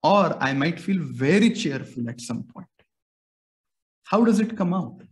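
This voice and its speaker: A man's voice: a little high, lively intonation, unhurried. A young Indian man, a music educator whose first language is Marathi, answers interview questions patiently in Indian English, explaining with everyday examples. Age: young adult.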